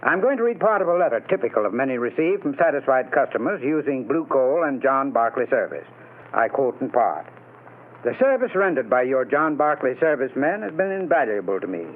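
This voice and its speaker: A man delivering a 1930s radio sponsor pitch as an announcer, in a formal, emphatic, declamatory way. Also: very animated, a little fast. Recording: tinny, narrow vintage radio recording.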